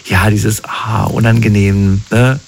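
Male interviewer with a lowish voice, easygoing and reflective, leans on tag questions. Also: little pitch movement, slow pace, vocal fry, slightly rough. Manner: thoughtful, often adds tag questions. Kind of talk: conversational interview.

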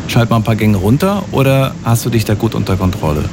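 A man's voice: on the low side, lively intonation, fast, background noise. A man hosting a radio call-in show, chatting casually with callers and often repeating words as he talks.

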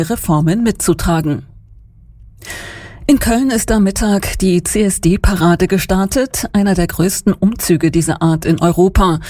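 Female newsreader, measured and even delivery, warm low voice. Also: no echo. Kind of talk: scripted radio news reading. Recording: dry studio sound.

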